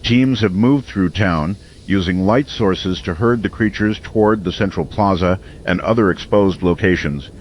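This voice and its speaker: An actor playing a grave late-night radio host, reporting on a crisis in a solemn, measured and deliberate way. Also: male voice, sits low, natural voice. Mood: grave, urgent. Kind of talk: dramatic radio news narration. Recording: clear background noise, muffled lo-fi sound.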